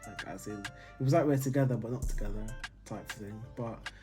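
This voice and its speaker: A relaxed young man from Jamaica talks easily through a casual Q&A with his partner. He laughs often and lets his sentences fade into mumbles. Light music runs underneath. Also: on the low side, normal speed. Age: young adult. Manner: laid-back, laughing, trailing off quietly. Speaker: vlogger, new father. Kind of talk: casual couple's Q&A chat.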